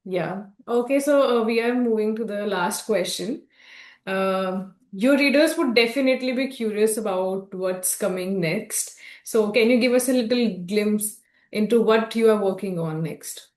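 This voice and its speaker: A woman's voice: mid-range voice, a little slow, darkish tone. Young woman asking interview questions, polite, echoey room sound. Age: young adult. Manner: brief affirmations, polite.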